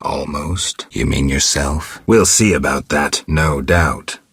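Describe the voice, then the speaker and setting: A world-weary swordsman who hunts monsters in a fantasy video game. His voice is extremely deep and heavily rasped, recorded close and dry. He speaks in short, understated, deadpan phrases, gruff and stoic. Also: slow, no echo, exaggerated voice. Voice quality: very deep, gravelly, husky rasp. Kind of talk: video game dialogue acting.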